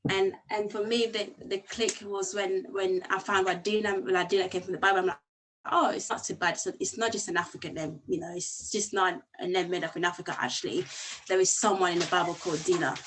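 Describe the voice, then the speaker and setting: A woman's voice: medium pitch, fairly even intonation, fairly quick, low-quality recording. A woman who grew up in Congo with Lingala as her first language, casually telling her own story on a video call, with many restarts. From Congo and Angola. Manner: warm, rambling, with many restarts. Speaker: speaker sharing her story with a group. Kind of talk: casual personal talk.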